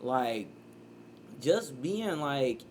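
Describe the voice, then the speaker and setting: A man, reacting to a clip in rambling casual commentary and breaking into loud outbursts. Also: mid-range voice, big pitch swings, drawn-out words, a little hiss or hum. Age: 30s.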